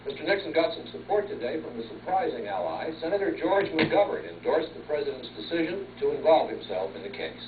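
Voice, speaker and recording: A man's voice: fairly high pitch, animated, fairly quick, few pauses. An American man delivers scripted news as a TV anchor. He sounds boxy and distant, with a very narrow, phone-like tone under plain hiss from an old home tape. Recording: hissy, tinny off-air tape, echoey.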